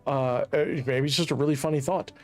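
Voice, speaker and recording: A man's voice: mid-range voice, fairly even intonation, a little fast, a little mellow, soft background music. Chatty man streaming a game. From Central Virginia, USA. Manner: rambling, chatty, laughs at his own jokes. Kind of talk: casual gameplay commentary.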